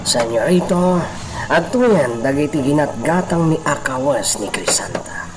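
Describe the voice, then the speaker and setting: A man's voice: medium pitch, very animated, measured pace. A man acting a deferential servant, distant and noisy. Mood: deferential, hesitant. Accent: Ilocano. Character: deferential household servant addressing the young master. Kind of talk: Ilocano radio drama acting.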